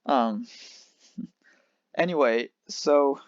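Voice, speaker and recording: A young man with a Geneva Swiss accent in French rambles through a casual livestream, drifting between French and English and restarting his sentences often. His voice sits at an ordinary pitch. The recording is dry and a little dull and lo-fi. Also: drawn-out words, dry recording. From France near Switzerland. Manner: rambling, restarts, switches language. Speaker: livestream host.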